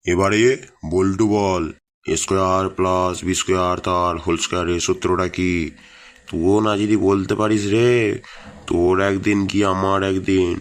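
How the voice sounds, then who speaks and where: Give the voice of a strict village schoolteacher in a comedy cartoon, acted by a man. He is hot-tempered and coarse, blustering in a lowish male voice. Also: flat delivery, normal speed, smooth, natural voice. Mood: bossy. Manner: scolding, blustering, coarse-tongued. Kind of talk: comic cartoon dialogue in Bengali village school skit.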